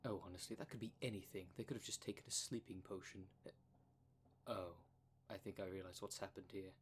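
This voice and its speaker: Clear background noise sits around a lowish male voice. It is a man acting a fussy, protective dream demon for an ASMR audio drama, choosing formal, wordy phrases with precise diction and a courteous manner. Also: steady tone, a little fast, lightly acted. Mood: pedantic, concerned.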